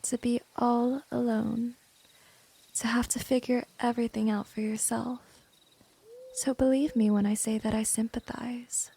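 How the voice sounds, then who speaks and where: A woman plays a smug, seductive female vampire in an intimate ASMR roleplay. Her voice sits fairly high yet soft and dark, with a gravelly fry. She speaks slowly and condescendingly, like a gentle predator in full control. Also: flat delivery, heavily acted. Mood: soft, sympathetic. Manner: slow, condescending, seductive, breathy drops into whisper. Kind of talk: ASMR roleplay, scripted monologue to the listener.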